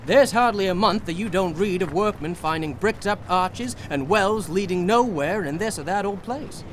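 An actor playing an eccentric, boastful painter of horrors, talking fervently and persuasively in a radio drama. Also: male voice, sits high, normal speed, slight background noise, natural voice.

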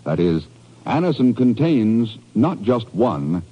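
A man delivering an emphatic, measured sales pitch as a 1950s radio announcer. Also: sing-song, husky. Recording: muffled old radio broadcast.

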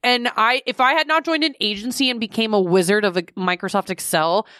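A young woman co-hosting a podcast in a studio, chatting casually and getting animated, her pitch jumping up when she gets worked up. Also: fairly quick, no room sound. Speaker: podcast co-host. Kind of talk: casual chat.

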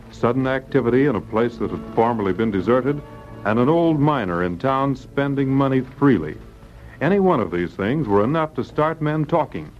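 Man narrating old muffled radio drama. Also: medium pitch. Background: music.

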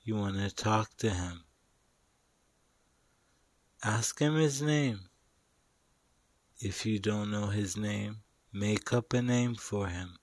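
A man narrating a guided meditation in a soothing, instructional way, pausing between short phrases. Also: lowish voice, no room sound.

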